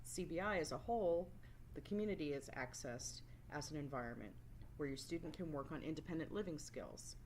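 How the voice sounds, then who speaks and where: A woman's voice: deep voice, lively intonation, brisk, darkish tone, a little hiss or hum, poor audio. A woman teacher giving a scripted, explanatory training presentation as a voice-over. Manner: instructional, explanatory. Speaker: work-based learning resource teacher.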